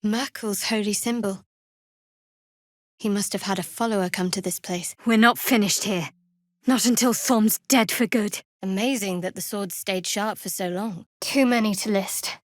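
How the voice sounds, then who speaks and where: A woman playing a guarded, devout young cleric in a video game, with a measured, dry and wry delivery. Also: mid-range voice, dry recording, close to an everyday voice. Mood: dry, observant. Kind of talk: video game character voice lines.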